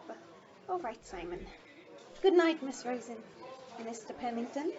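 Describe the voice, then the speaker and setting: Woman playing a high-voiced, entitled young society wife. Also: big pitch swings, a little slow, muffled recording, a loud crowd. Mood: polite. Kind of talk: amateur audio play acting.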